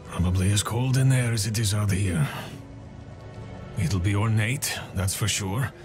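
An actor playing a weary, guilt-ridden soldier in a game, gruff but friendly, with wry, understated delivery. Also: male voice, lowish voice, measured pace, over music, close to an everyday voice. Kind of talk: dramatic game dialogue.

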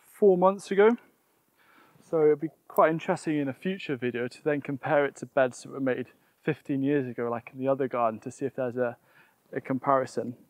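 A man's voice: mid-range voice, no echo. A young man presenting a casual gardening vlog outdoors, explaining things and often thinking aloud. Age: young adult.

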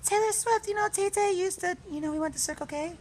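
Prank-call role: gushing, apologetic young female pop star in an extremely high falsetto played by a man, stammering and pleading. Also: quick pace.

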